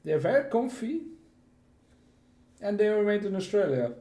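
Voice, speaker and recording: A woman's voice: mid-range voice, sing-song, normal speed, mellow, echoey room. A woman chatting casually as a guest in a gaming voice chat, making short playful remarks.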